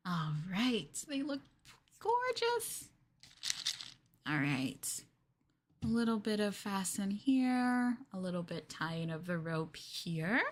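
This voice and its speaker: A woman performs a yandere girlfriend for an ASMR roleplay, sweet-talking and obsessively possessive. She coos and coaxes at an unhurried, slow pace, in a medium-pitched female voice. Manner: cooing, coaxing, with murmurs and giggles.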